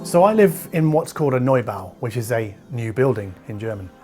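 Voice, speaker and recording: Guy doing a casual explainer vlog, chatty, medium pitched voice. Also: expressive, fairly quick, mellow, soft background music. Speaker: host of an audio review channel.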